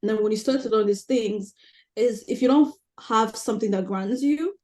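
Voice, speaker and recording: A woman's voice: on the high side, moderate pace, dark tone. A young woman from Rwanda, a native Kinyarwanda speaker, talking as a podcast guest in an earnest, thinking-aloud way. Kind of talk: casual conversation.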